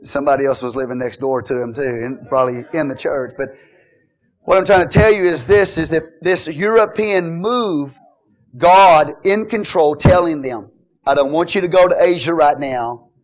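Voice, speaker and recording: Middle-aged man, pastor mid-sermon; fairly high voice, playful asides, builds to loud exhortation. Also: normal speed. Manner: jokey, swings from quiet asides to loud exhortation.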